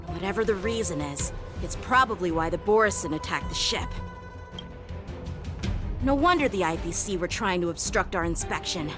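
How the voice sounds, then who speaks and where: A woman voicing a brisk, authoritative young woman official in a game, speaking firmly. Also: fairly low pitch, animated, natural voice. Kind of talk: game voice acting. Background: game music.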